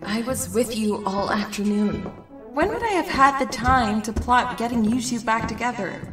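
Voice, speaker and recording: A woman's voice: fairly high pitch, animated, normal speed, far from the mic, close to an everyday voice. An actress playing an earnest, literal-minded female superhero in a comedic audio drama. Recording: echoey, off-mic, music behind.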